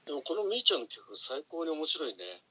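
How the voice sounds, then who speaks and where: A man's voice: a little high, very animated, a little fast, pushed and bright, husky, dry recording. A man chatting casually as a guest, enthusiastic and generous with praise. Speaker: guest, audio drama editor. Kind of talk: casual chat.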